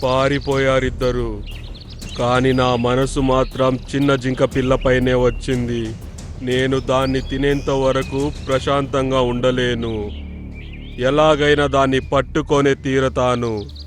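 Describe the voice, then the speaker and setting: Cartoon villain wolf, played by a man: medium pitch, boastful, hungry and threatening, music underneath. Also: flat delivery, unhurried, close to an everyday voice. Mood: scheming. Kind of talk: cartoon character voice acting.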